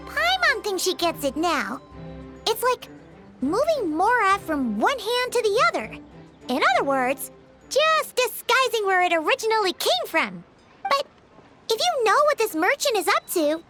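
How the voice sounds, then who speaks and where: Game companion: a tiny excitable girl fairy, very high and sing-song. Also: a little slow, full character voice. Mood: thoughtful. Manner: excitable, talks about herself in third person. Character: tiny chirpy female floating fairy companion. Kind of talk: video game voice acting. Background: game music.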